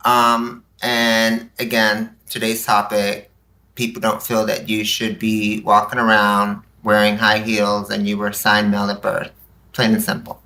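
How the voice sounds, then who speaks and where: A man speaking as a podcast guest, telling personal stories casually, acting out bits of dialogue and tossing in rhetorical questions. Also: on the low side. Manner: animated retelling with quoted dialogue and rhetorical questions. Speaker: podcast guest, community activist.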